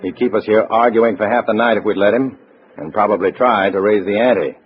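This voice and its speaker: An actor playing a tough, wary undercover agent in a 1950s radio drama, with a clipped, wry, hard-boiled delivery. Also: male voice, lowish voice, a little fast, background noise, natural voice. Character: tough, wary male undercover agent posing as communist comrade. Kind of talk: 1950s radio drama acting with first-person narration. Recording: muffled old radio recording, loud music.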